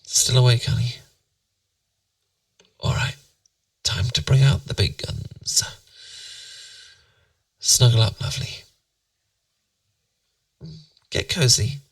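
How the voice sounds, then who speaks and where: A British man playing a tender, playful boyfriend in a bedtime ASMR roleplay, musing softly and intimately to soothe his partner to sleep. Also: mid-range voice, fairly even intonation, takes it slowly, long pauses, no room sound, far from the mic. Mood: affectionate, coaxing. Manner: soft, intimate, soothing, musing, occasionally near whisper.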